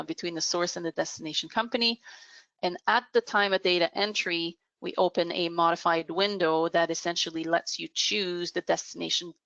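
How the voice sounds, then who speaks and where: Low, creaky-voiced woman presenting a demo. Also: a bit bright, dry recording. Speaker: software product demonstrator. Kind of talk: explanatory business software demo. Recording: dull, muffled lo-fi audio.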